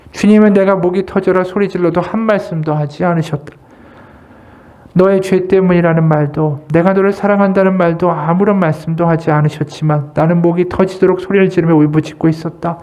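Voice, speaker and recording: A man preaching at a Sunday service in a high voice. He speaks earnestly, as a pastor urging his congregation, and sets out his message in measured, short phrases. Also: a little mellow. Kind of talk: Sunday sermon with read-aloud testimony and prayer.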